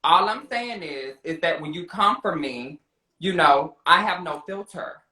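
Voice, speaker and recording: A man's voice: fairly high pitch, animated, measured pace, pushed and bright. An American man chatting confrontationally, sassy, slangy and defensive, recorded with a roomy echo. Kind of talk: casual confrontational chat.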